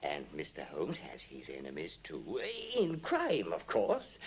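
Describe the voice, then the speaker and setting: An actor with a British accent playing a small, sly, suspicious planter in a 1950s radio drama, oily and mannered. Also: male voice, very deep voice, wildly swooping pitch, measured pace, heavily acted. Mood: smooth, insincere. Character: small, sly, suspicious male planter and amateur disease expert. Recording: noisy 1950s radio recording.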